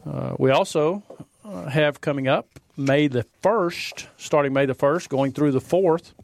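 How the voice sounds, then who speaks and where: A man co-hosting a morning religious radio show, giving event announcements in a steady, measured way. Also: on the high side, vocal fry, no echo. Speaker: radio co-host. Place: radio studio.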